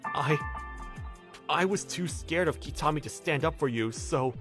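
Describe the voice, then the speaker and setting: A man's voice: fairly high pitch, a little slow, music in the background. A man voicing an earnest, kind young office worker in an anime-style dub, with an emphatic way of talking. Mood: earnest, apologetic.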